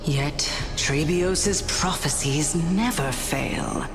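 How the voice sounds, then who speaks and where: An actress playing an ancient female general in a video game, stately and stern yet gentle, with formal, measured phrasing. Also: deep voice, exaggerated voice. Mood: grave, commanding. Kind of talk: scripted video game voice acting. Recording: long hall reverb, music behind.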